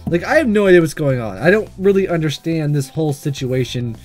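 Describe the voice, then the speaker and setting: Animated game narrator reading a story aloud. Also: mid-range voice, a little fast. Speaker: let's player reading a visual novel aloud. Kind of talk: narrating and voicing a game with casual reactions. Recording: music under the voice. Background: game music.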